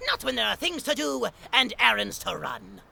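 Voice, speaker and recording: A man voicing a boisterous, theatrical, eager skeleton brother in a cartoon comic dub, with a raspy voice and grandiose delivery. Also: very high-pitched, big pitch swings, moderate pace, sharp tone, heavily acted. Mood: boastful. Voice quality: raspy, gravelly. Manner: grandiose, emphatic declarations.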